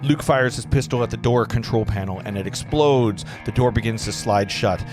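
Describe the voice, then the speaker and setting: A man's voice: medium pitch, normal speed. A man acting as narrator at a table read, reading screenplay stage directions aloud earnestly, with the odd stumble. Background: music.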